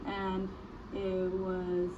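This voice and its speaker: A woman's voice: medium pitch, monotone, drawn-out words. A young woman from the Dominican Republic speaking plainly and steadily in a documentary interview. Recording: distant, dull, noisy clip.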